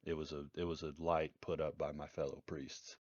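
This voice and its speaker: A man explaining things haltingly as a player in a tabletop roleplaying game, repeating phrases, on a lo-fi microphone. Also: extremely low pitch, level tone, darkish tone, dry recording. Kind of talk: casual in-character tabletop game discussion.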